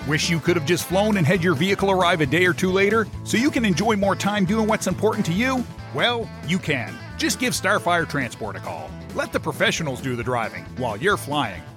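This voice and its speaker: A man reads an upbeat radio ad in a high, lively voice that rises and falls a lot. Clear guitar music plays behind him the whole time. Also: a little fast. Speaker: ad announcer. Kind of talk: read radio ad.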